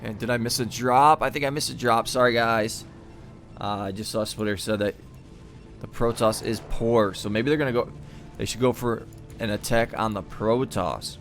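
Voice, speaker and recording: A man's voice: mid-range voice, fairly even intonation, normal speed, fairly bright tone, soft background music. A man giving live commentary on a real-time strategy match in an easygoing, thinking-aloud way, and he is losing his voice. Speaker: game caster.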